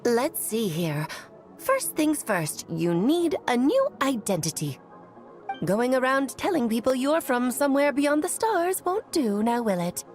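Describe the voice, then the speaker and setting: Playful visitor from the stars, lively pitch, soft music. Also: female voice, measured pace. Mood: musing. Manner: playful, musing. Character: playful confident woman, cosmic visitor.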